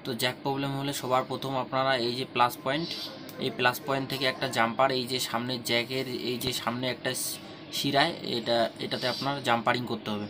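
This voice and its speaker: Fast, flat-toned man giving repair instructions. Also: mid-range voice, music in the background. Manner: repetitive, step-by-step instruction. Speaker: phone repair tutorial host. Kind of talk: hands-on tutorial talk. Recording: clearly noisy home-made recording.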